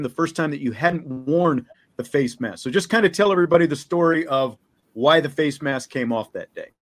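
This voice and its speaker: A man speaking as a sports show host, asking questions in a conversational, unscripted way. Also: medium pitch.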